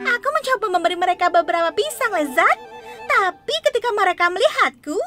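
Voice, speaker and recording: A woman's voice: very high-pitched, sing-song, fast talker, over loud music. A woman voicing a sweet, earnest young girl tank engine in a children's cartoon dub. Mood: flustered.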